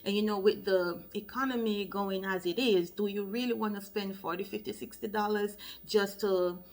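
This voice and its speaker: A woman's voice: mid-range voice, fairly even intonation, moderate pace, a little mellow. A woman with a Jamaican accent, a loctician talking casually to camera and explaining things step by step, often checking in with "okay?". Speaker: loctician and channel host. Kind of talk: casual advice vlog to camera.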